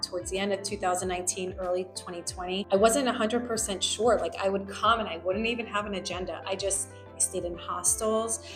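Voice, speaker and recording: A woman who grew up in New Jersey, a lawyer, telling her personal story in a reflective, conversational way with many fillers. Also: mid-range voice. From United States, grew up in New Jersey. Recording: echoey room with faint music.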